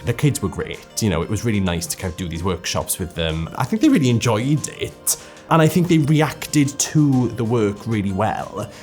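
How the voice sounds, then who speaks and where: A man's voice: on the low side, animated, normal speed, darkish tone, faint music behind. A man who makes games, explaining his work informally in an interview. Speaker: game company creator.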